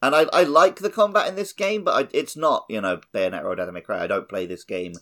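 A man's voice: medium pitch, fairly even intonation, quick pace, fairly bright tone. A man co-hosting a casual gaming podcast, jokey and given to acting out imagined quotes. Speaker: podcast co-host.

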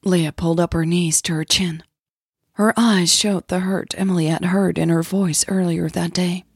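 A woman's voice: lowish voice, steady tone, normal speed, husky, no room sound, clean studio sound. A woman narrating a scripted audio drama as its storyteller.

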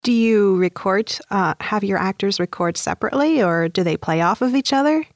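A woman's voice: medium pitch, moderate pace, no room sound. Woman podcast interviewer, dry recording. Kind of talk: conversational interview questioning.

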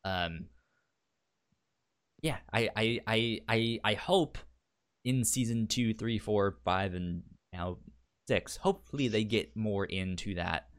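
A man chatting casually as a podcast co-host, in a halting way that often repeats words and sounds. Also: fairly low pitch, clean tone. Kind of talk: casual chat.

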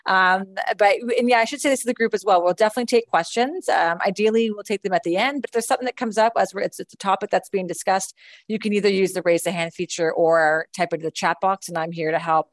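A woman's voice: medium pitch, fast, no echo. A woman recruiter hosting an online information session, friendly and chatty with many fillers and restarts. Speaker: admissions recruiter and webinar host.

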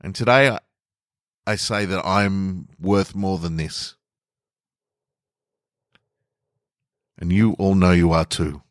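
Male reader as fed-up male employee, low voice, dry sound, deliberate, earnest, defiant, short-story monologue. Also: steady tone, dry recording. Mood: impassioned, rallying. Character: aggrieved, uncomfortable male office employee making a defiant stand.

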